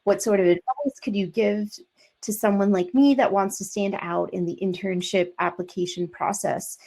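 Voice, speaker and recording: A woman moderating a session, reading out audience questions with brisk, upbeat transitions. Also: medium pitch, steady tone, vocal fry, mellow.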